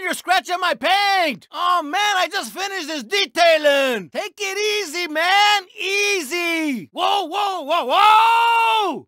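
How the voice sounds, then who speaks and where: An actor voicing a proud, laid-back lowrider car in a video game, with slangy, drawn-out street talk. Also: male voice, sharp tone, no room sound, clean studio sound, exaggerated voice. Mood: alarmed. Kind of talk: animated video game voice acting.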